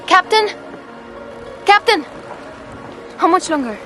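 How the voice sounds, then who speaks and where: A woman's voice: very high-pitched, animated, takes it slowly, a bit bright, soft background music, exaggerated voice. A woman playing a curious, outspoken young traveller in an audio drama, eagerly questioning and challenging. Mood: curious, skeptical.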